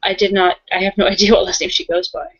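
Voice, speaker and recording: A woman's voice: medium pitch, flat delivery, quick pace, lightly acted. A woman playing a young, candid werewolf in an improvised roleplay, casual and blunt as she questions things. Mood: casual, questioning. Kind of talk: improvised in-character roleplay conversation. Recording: dull lo-fi voice recording.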